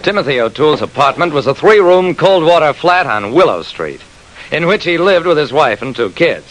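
A man's voice: a little high, big pitch swings, normal speed, background noise. A man playing a terse, wry private detective who narrates his own case in an old-time radio drama. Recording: vintage radio recording.

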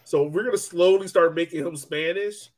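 A man's voice: sits high, normal speed, darkish tone. A man hosting a sports podcast, chatting casually in a joking, playful way. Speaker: podcast host.

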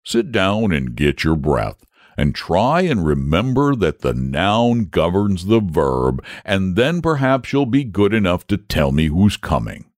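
A man voicing a lazy, gentle and cowardly male dragon who speaks in a languid, solemn drawl in a storybook. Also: low voice, big pitch swings, measured pace, no echo, clean studio sound.

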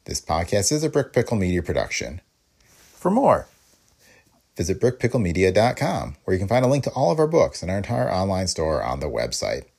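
A man's voice: deep voice, wildly swooping pitch, fairly quick, creaky, darkish tone, slightly rough. A man reading a scripted podcast introduction as the host. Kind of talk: scripted narration.

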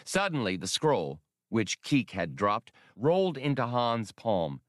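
A man's voice: medium pitch, very animated, measured pace, no echo, studio quality. A man reading adventure fiction aloud as an audiobook narrator, in a dramatic scripted style. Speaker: audiobook reader.

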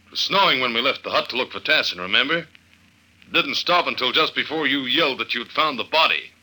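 A man's voice: medium pitch, animated, brisk, bright, forceful tone, poor audio. An actor playing a tough, wry detective agent who narrates his case in clipped slang, in the style of an old-time radio drama. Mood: confident, explaining. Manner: clipped, slangy, hard-boiled. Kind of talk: old-time radio drama acting, hard-boiled first-person narration. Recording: vintage radio drama recording.

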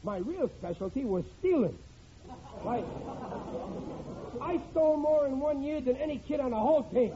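High-pitched, boastful, bumbling radio dad. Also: male voice, expressive, moderate pace, pauses often, a crowd in the background. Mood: proud, boastful. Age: middle-aged. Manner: blustering, stammers when cornered. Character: boastful, bumbling middle-aged family father. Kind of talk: old-time radio sitcom acting. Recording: muffled, hissy vintage radio recording.

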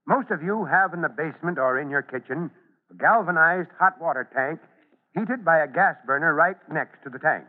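An actor playing a brisk, authoritative and fatherly fire chief in an old radio serial. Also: on the high side. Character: commanding, caring male fire chief leading a rescue. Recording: muffled old radio recording, long reverb.

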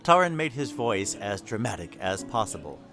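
A man's voice: on the low side, very animated, normal speed, sharp tone. A man narrating a scripted fantasy audio drama in the role of storyteller. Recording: clear music behind the voice. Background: music.

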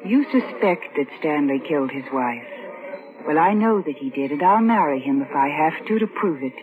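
A woman's voice: deep voice, lively intonation, moderate pace. An actress playing a resolute, secretive woman in a vintage radio drama, earnest and guarded. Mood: determined. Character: resolute, secretive woman set on exposing a murderer. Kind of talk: 1940s-1950s radio drama acting. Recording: tinny vintage radio recording.

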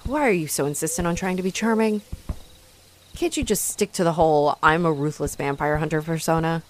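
A woman's voice: low voice, fairly quick, a little hiss or hum, lightly acted. A woman playing a haughty, sarcastic female vampire in a roleplay audio drama, mocking and theatrical as she taunts her rival. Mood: flustered, defensive.

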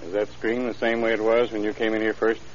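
A man's voice: on the low side, little pitch movement, quick pace, natural voice. Man doing folksy shrewd police lieutenant, old radio play, dull hissy sound. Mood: calm, probing. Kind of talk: old-time radio drama acting.

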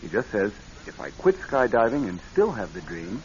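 A lively-voiced skydiving husband in an old radio play, casual and anxious underneath. His low voice moves around a lot in pitch. The recording is muffled, with some hiss. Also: normal speed. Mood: easygoing, earnest. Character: nightmare-plagued skydiving husband, jokey and anxious. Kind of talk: radio drama acting.

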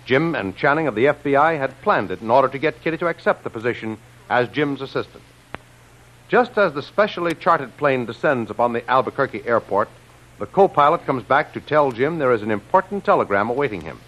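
A man's voice: mid-range voice, expressive, brisk, fairly bright tone, dull audio. A man announcing and narrating in a formal, declamatory 1930s radio style, reading from a script. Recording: old radio recording with music behind.

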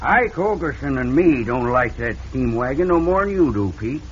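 Smooth-talking Old West gang leader male actor, American Western accent, sly, vintage radio western with faint noise. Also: mid-range voice, expressive, normal speed, natural voice. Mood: coaxing. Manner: smooth-talking, conniving, folksy. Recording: muffled, noisy vintage radio recording.

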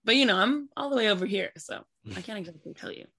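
A woman's voice: medium pitch, quick pace, slightly rough. A woman soccer player answering interview questions casually, with lots of "like" and "you know". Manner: relaxed, filler-heavy speech with many "like" and "you know".

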